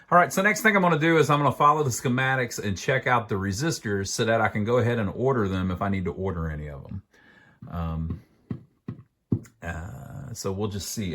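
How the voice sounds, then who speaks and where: A man's voice: on the low side, a bit bright. An American man giving a casual hands-on repair walkthrough, thinking aloud in a folksy, plain-spoken way. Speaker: amateur radio repairer, video host.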